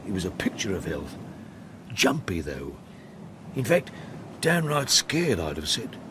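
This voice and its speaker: An actor playing a seedy, eager-to-please sea captain in a radio drama, talking colloquially and evasively. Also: male voice, deep voice, very animated, measured pace, background noise. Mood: confiding. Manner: colloquial, evasive, hesitant when pressed.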